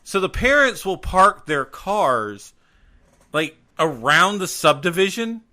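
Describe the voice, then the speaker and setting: A bright, ringing male voice with a slightly raised pitch, chatting loosely as a podcast co-host. He rambles through stories, jokes around and grumbles his way into mock rants. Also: big pitch swings, slow pace. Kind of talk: casual chat.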